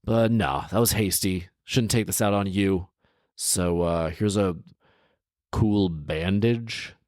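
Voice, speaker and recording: A man's voice: low voice, slow, darkish tone, no room sound, studio quality. A man voicing an impulsive, bargaining genie in a comic storybook reading, in a casual, exclamatory manner. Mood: sheepish, apologetic. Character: male genie, impulsive, pleading, bargaining, sheepishly apologetic.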